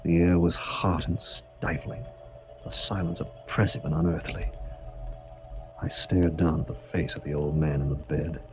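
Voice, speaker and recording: An actor playing a bitter, brooding son full of hate, melodramatic in the style of 1940s radio. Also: male voice, low voice, fairly even intonation, measured pace. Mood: cold brooding. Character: bitter, hateful son waiting for father's death. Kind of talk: 1940s radio acting with first-person narration. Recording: muffled vintage radio broadcast, loud music.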